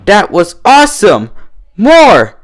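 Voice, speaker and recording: A high, swooping and loud young pegasus mare played by a man, cocky and boastful as the cider takes hold. She is an excitable cartoon show-off who pushes every line. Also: slow, heavily acted. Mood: cocky, tipsy. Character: brash, cocky young female cartoon pegasus getting drunk.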